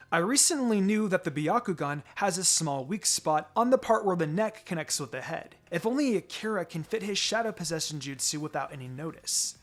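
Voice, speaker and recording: A man with a slightly high voice narrates a piece of fan fiction. His delivery is expressive and dramatic, as a scripted story read aloud. Music plays faintly behind him. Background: background music.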